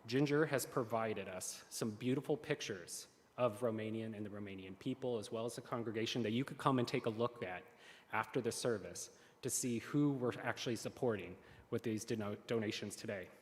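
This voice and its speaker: A man reading the offering announcements at a church service in an earnest, careful way, with small slips. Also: mid-range voice. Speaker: worship service lay leader. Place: church sanctuary. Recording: long hall reverb.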